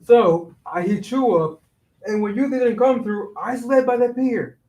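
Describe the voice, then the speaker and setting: High, exasperated young man acted, slangy griping. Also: measured pace, mellow. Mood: weary, complaining. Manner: slangy, complaining, sprinkles in Spanish. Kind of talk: staged reading of a one-act play. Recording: roomy echo, voice far from mic.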